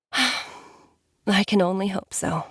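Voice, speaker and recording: A woman's voice: medium pitch, drawn-out words, fairly bright tone, husky, no echo, clean studio sound, full character voice. A woman playing a caring, tender and earnest mother in a fantasy game. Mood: worried, resigned. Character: gentle, caring mother, quietly anxious for friend. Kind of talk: game voice acting.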